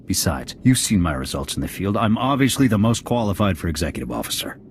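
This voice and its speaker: Casting: surly veteran sergeant, low voice, blunt and proud, game dialogue with background noise. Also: male voice, sing-song, fast. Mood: indignant. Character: gruff, combative, proud male army sergeant. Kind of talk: scripted video game acting.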